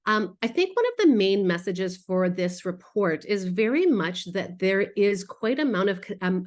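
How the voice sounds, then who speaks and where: A woman presenting research findings as a public health researcher, explaining in a measured way with small asides. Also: medium pitch. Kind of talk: research presentation, semi-scripted explanatory talk.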